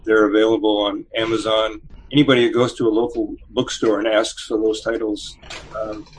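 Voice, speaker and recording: A man in his seventies, a poet, reading a poem slowly and then answering interview questions with many pauses and fillers. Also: on the low side, little pitch movement, slight background noise, low-quality recording. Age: 70s. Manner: slow measured reading, hesitant with fillers when chatting. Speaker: poet and novelist guest.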